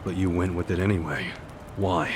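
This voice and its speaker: An actor playing a blunt, mocking, streetwise gangster questioning someone in a video game cutscene. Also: male voice, low voice, moderate pace, background noise, natural voice. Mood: mocking, probing. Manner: blunt, sarcastic, needling. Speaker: character in a crime drama video game.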